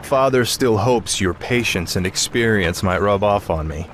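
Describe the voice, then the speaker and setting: An actor playing a confident, dutiful young warrior prince, informal and earnest, in a fantasy game. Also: male voice, lowish voice, normal speed, a little hiss or hum, natural voice. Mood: easy, friendly. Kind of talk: fantasy game dialogue acting.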